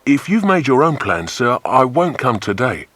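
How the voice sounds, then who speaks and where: A man's voice: medium pitch, very animated, normal speed, a bit bright, voice pushed up. A man voicing a cheerful young male pilot, breezy, chummy and deferential, in a children's audiobook. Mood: breezy, polite. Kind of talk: dramatized children's audiobook dialogue.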